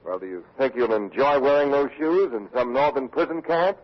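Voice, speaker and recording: Guy doing a 1940s radio newsman, formal and brisk, bit husky, muffled old recording. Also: mid-range voice, natural voice. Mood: dry, probing. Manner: formal, measured reporting, dry questioning. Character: brisk male radio correspondent at the battle.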